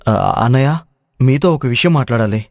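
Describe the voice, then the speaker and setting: A man's voice: medium pitch, lively intonation, normal speed, gravelly, a little mellow. A man playing a naive, hesitant younger brother in a moral story, recorded with long reverb.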